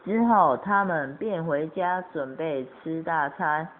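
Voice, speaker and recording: A woman's voice: deep voice, takes it slowly. A woman reading storybook-style narration for an amateur radio play. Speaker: narrator of an audio drama. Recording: tinny, band-limited amateur recording with noise.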